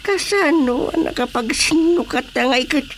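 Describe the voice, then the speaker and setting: A woman's voice: high-pitched, normal speed, a little hiss or hum, natural voice. A woman playing a playful, flustered villager in a Filipino radio comedy drama.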